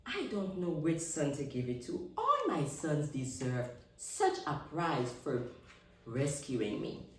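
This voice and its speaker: A woman voicing a wondering spider father in a children's storybook, musing in drawn-out exclamations. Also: sits low, big pitch swings, very echoey, far from the mic, slight background noise, full character voice, rough recording. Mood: pondering. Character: Anansi, male spider father, wondering and grateful.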